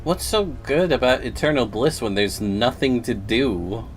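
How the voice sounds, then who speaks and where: A man's voice: medium pitch, a little slow. A man livestreaming a game, reacting casually as he plays.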